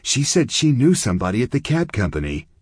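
A scared teenage girl, as a male narrator puts her on, pitched well above his own voice, pleading and urgent. Underneath, a husky grain remains. The sound is dry and close. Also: sing-song, fairly quick, no echo. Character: frightened teenage girl caught in a crime.

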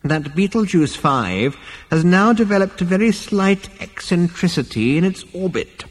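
A man voicing an ancient, scornful ghostly alien great-grandfather from a comic science fiction story, screechy and rasping, snappish and pompous. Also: high-pitched, lively intonation, in a large hall, pitched above the performer's own voice, low-quality recording. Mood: stern disdain. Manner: snappish, drawn-out, withering, pompous.

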